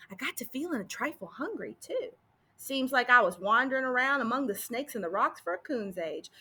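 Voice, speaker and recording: A woman's voice: high voice, bright, forceful tone, breathy edge, heavily acted. A woman voicing a boastful, dim-witted cowboy sheriff in folksy American Old West dialect, telling a tale with exaggerated drawling delivery.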